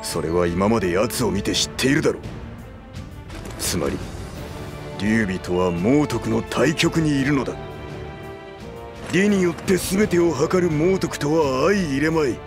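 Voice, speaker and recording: An actor playing a gruff middle-aged general in a video game, distrustful and firm, with rough, blunt speech. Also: male voice, medium pitch, expressive, unhurried, pauses often, music in the background. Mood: stern, insistent. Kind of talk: Japanese video game cutscene acting.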